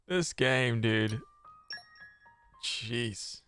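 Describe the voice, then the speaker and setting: A man's voice: medium pitch, very slow, fairly bright tone, soft background music. A man giving casual reaction commentary as a gaming streamer.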